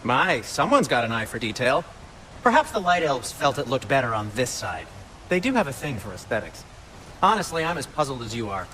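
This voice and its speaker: A man's voice: mid-range voice, a little fast, a little hiss or hum. A male actor playing a fussy, sardonic dwarf blacksmith in a video game, chatty and prissy in his delivery. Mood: wry, chatty. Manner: prissy, animated, wry delivery. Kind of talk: video game cutscene acting.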